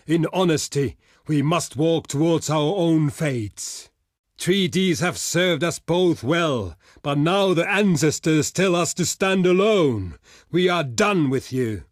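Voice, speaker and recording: A man's voice: on the high side, no echo, studio quality. A man playing a proud, blunt barbarian envoy in a video game, speaking in solemn, formal, theatrical oratory. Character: proud, grave male barbarian envoy, blunt and ale-loving. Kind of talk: video game character voice lines, theatrical declamation.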